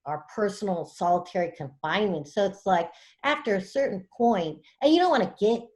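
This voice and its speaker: A woman's voice: medium pitch, expressive, a little fast, a little mellow. A woman joining a podcast as a guest over a video call, chatting casually and swearing now and then. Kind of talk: casual chat.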